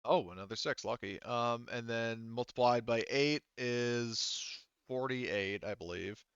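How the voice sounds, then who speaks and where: A man's voice: mid-range voice, unhurried, a bit bright, clear, resonant tone, no room sound, highs cut off. A man acting as game master in a casual online tabletop session, rambling and thinking aloud as he narrates. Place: online voice call.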